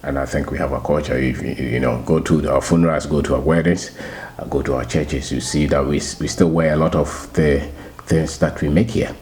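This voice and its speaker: A middle-aged man from Ghana with a Ghanaian accent, talking casually to his channel, unhurried and often repeating himself. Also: very deep voice, fairly even intonation, darkish tone, slight background noise. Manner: unhurried, repeats words and restarts, chuckles at himself. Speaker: channel host. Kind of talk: casual talk to camera.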